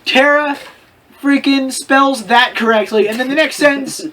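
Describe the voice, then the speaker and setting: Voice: sits high, unhurried, sharp tone. A person giving a comedic dramatic reading of fan fiction, breaking off to mock it with exasperated, exaggerated emphasis. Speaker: co-host reading aloud.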